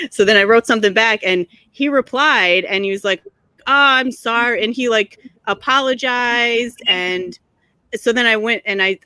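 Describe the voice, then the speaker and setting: A woman who makes motorcycle videos, chatting casually on a livestream from her garage, sarcastic and quick to laugh, with lots of fillers. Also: on the high side, bright, forceful tone, clean tone, no room sound, rough recording. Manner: laughs often, sarcastic, filler-heavy storytelling. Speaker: guest, motorcycle video creator. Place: home garage.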